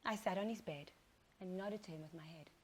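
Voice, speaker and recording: A woman giving an expressive, dramatic first-person reading of a short story, acting out the dialogue. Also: on the low side, fairly quick, a little hiss or hum.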